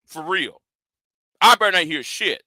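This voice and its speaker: High, forceful man ranting at his critics. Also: animated, a little slow, no room sound. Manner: confrontational and profane, repeats and restarts words; challenging his audience directly. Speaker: YouTube commentator. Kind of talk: ranting commentary vlog.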